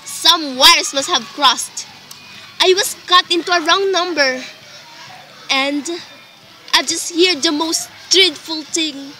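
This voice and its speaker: High, bright, overwrought frightened wife acted by a woman. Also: slow, faint music behind, heavily acted. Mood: horrified. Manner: overwrought, imperious. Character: nervous, demanding invalid wife, alone and frightened. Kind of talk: melodramatic radio play acting. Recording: faintly noisy amateur recording.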